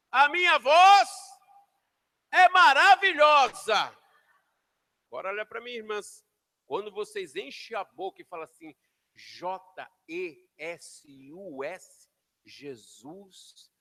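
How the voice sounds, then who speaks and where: A pastor preaching a sermon in a church, shouting then dropping to near whispers as he calls the congregation to repeat after him. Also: very high voice. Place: church hall. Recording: long hall reverb.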